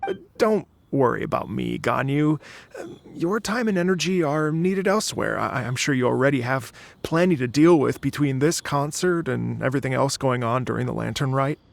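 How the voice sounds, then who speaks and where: A man's voice: mid-range voice, expressive, moderate pace, vocal fry. A man playing an earnest, courteous and slightly flustered musician in an anime video game. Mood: reassuring, hesitant. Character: earnest, hopeful, polite male musician.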